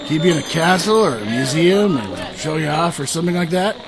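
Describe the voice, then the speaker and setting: A man's voice: fairly high pitch, very animated, brisk, over music, rough recording. A man playing a casual, coaxing fantasy adventurer in an audio drama, talking in an easygoing, persuasive way. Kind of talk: audio drama character acting. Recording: crowd chatter behind the voice.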